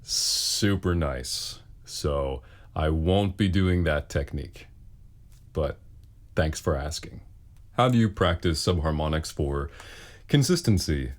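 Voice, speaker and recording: A man with a very deep bass voice, a singer casually answering questions with wry, self-deprecating humor. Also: lively intonation, slow pace. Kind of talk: casual Q and A talk.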